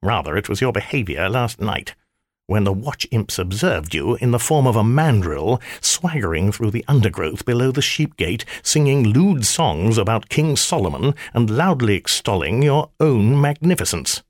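A man's voice: fairly low pitch, big pitch swings, moderate pace, no echo, studio quality. A man voicing an irritable old male magician, stern and sardonic, in a clipped, scolding manner for an audiobook. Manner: clipped, scolding, dryly sarcastic. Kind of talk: audiobook character voice.

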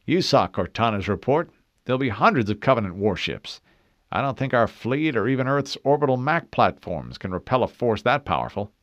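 A man's voice: mid-range voice, sing-song, brisk, dry recording, highs cut off, pitched above the performer's own voice, lightly acted. A man voicing a serious, coolly logical male soldier in an audiobook. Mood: cool, doubtful. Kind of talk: audiobook character voice.

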